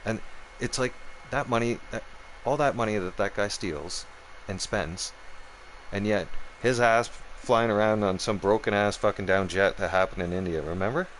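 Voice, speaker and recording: A man with a deep, low voice holds forth on his live stream. He swears freely, repeats himself and keeps checking in with "right?" and "okay?". A faint background hiss sits under him. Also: normal speed, poor audio. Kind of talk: angry political rant on a live stream.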